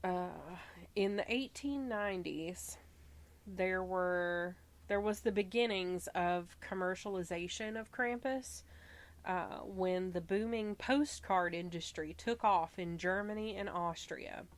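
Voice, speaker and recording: A woman with a Southern US accent hosting a podcast. She reads from her researched notes and breaks off for relaxed, chatty asides, stumbling now and then and laughing at herself. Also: fairly low pitch, takes it slowly. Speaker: podcast host.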